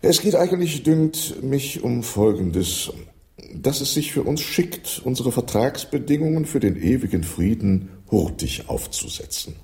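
An actor playing a pompous English lord in a radio drama, brisk and ceremonious in his formal phrasing, with a creaky, mellow voice. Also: medium pitch, big pitch swings. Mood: pompous, impatient.

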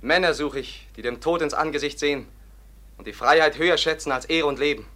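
A man's voice: fairly high pitch, brisk, bright, forceful tone. An actor playing a passionate, wronged young Bohemian nobleman in a radio play, declaiming with earnest desperation. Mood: eager, resolute. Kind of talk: classic German radio play acting.

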